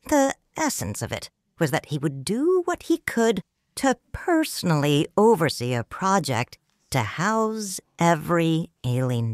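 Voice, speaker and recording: A woman narrating a story in first person, calm and reflective. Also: sits low, no room sound, studio quality.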